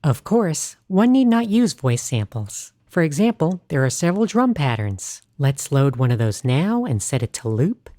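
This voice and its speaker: Warm woman narrating a synth tutorial. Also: low voice, very animated, normal speed, few pauses, soft background music. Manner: clear, explanatory tone. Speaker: host.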